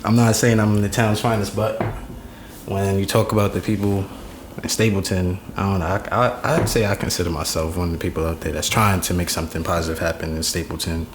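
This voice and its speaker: Deep-voiced young New York guy. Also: a little fast, background noise. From Staten Island, New York. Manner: relaxed, slangy storytelling. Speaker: podcast guest. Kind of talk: casual interview chat.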